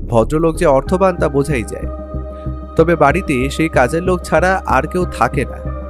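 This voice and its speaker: An actor playing a detective's curious, watchful companion, narrating the case in the first person. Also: male voice, on the high side, close to an everyday voice.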